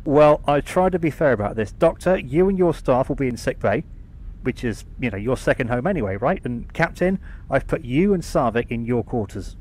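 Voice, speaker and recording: A man's voice: medium pitch, lively intonation, moderate pace, a little mellow, close to an everyday voice, poor audio. Man as informal starship engineer. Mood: brisk, matter-of-fact. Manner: informal, matter-of-fact. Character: brisk, friendly, blunt male starship engineer lieutenant. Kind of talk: science fiction fan audio drama acting. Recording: background noise, room ambience.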